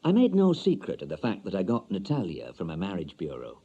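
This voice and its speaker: An older Yorkshire bachelor telling his tale in a radio short story. His voice is husky and mellow, with hugely varied pitch. He is chatty, wistful and droll, confiding in plain colloquial speech. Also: huge pitch swings, brisk, muffled recording, exaggerated voice.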